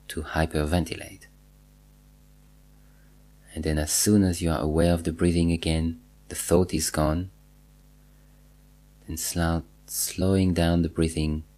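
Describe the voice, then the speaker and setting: A man guiding a body scan meditation, giving measured instructions in short phrases with pauses and repetition. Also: sits low, darkish tone. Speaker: meditation teacher.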